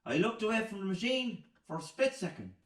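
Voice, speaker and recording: Guy doing a grumpy hungover irish factory lad in a radio play, high voice, sounds away from the mic. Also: big pitch swings, fairly quick, a bit bright. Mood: aggrieved recounting. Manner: grumbling, sweary, emphatic. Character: disgruntled, hungover, foul-mouthed Irish factory worker man. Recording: roomy, distant from the mic.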